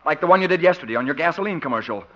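An actor playing a sour, curt station boss, snapping with dry disdain in 1950s radio comedy style. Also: male voice, on the high side, expressive, very fast, fairly bright tone. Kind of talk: 1950s radio sitcom acting. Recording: muffled 1950s radio recording.

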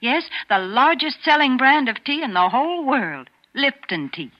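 A woman's voice: sits high, big pitch swings, moderate pace, very noisy. A woman reading a cheerful scripted radio commercial in 1940s style. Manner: cheerful sales patter. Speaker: commercial announcer. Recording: muffled 1940s radio broadcast.

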